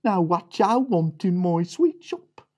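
A man's voice: high voice, very animated, takes it slowly, a little mellow, voice pushed up, full character voice. A man voicing a brusque, blunt male shopkeeper. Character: gruff male sweet-shop keeper.

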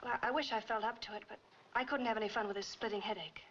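Woman acting anxious young wife, American, fast speech, 1940s mystery film, dull noisy soundtrack. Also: a little high, steady tone, fairly bright tone, lightly acted. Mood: guarded. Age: young adult.

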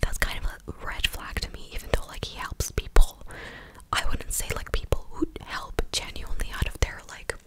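Young woman whispering close to the mic. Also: normal speed. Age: young adult. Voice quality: breathy, unvoiced whisper. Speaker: ASMR content creator. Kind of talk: whispered ASMR, casual recap of news. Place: small living room, indoors. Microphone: close desktop condenser microphone.

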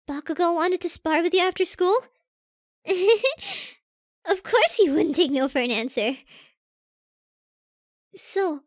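A woman voicing a shy, flustered teenage girl with a crush in an anime roleplay audio drama, stammering, hesitant and excitable. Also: very high-pitched, no room sound, studio quality, exaggerated voice. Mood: bright, hurried.

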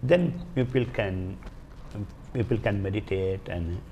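An older man with an Indian accent explains as an interview guest in a studio, haltingly, searching for words and repeating phrases. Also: fairly low pitch, vocal fry, mellow, a little hiss or hum. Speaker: guest, Vastu healer. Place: small indoor TV studio. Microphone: lapel mic.

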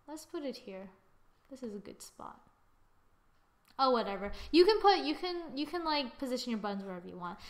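A young woman giving a casual software tutorial, thinking aloud informally with muttered asides as she works. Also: fairly high pitch. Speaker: tutorial presenter. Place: indoors, voice-over to a screen recording.

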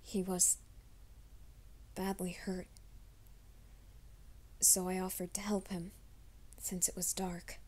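A woman voicing a troubled young man who hides a werewolf nature, in a soft, hushed ASMR roleplay, often whispering. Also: lowish voice, level tone, takes it slowly, long pauses. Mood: anxious whisper. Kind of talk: fan audio drama, ASMR roleplay.

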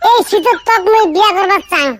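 A man voicing a lovesick, crude young village man in a comedy cartoon, with a northern Bengali accent and a pitch-raised effect. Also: a little fast, a bit bright. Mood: lovesick pleading.

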